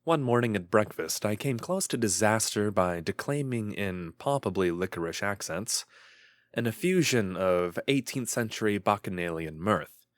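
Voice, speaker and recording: Man playing a deep-voiced, brooding gothic young narrator. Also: expressive, normal speed, no room sound, natural voice. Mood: solemn confession. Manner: solemn, formal, archaic and literary diction. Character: brooding, obsessed young man confessing his morbid secrets. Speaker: narrator and lead character. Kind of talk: dramatic first-person gothic horror narration.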